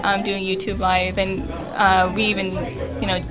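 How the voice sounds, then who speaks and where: A woman's voice: medium pitch, monotone, moderate pace. A woman chatting casually as a guest in a live interview, explaining things with simple examples. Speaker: guest, English teacher with an online show. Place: live radio broadcast at a market pop-up event. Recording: loud music behind. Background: loud music.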